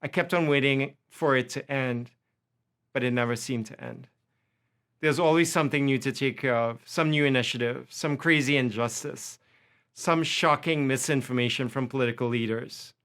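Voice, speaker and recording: A man's voice: mid-range voice, fairly bright tone. A man with a Trinidadian accent giving a prepared lecture, impassioned and building through long lists. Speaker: university faculty lecturer.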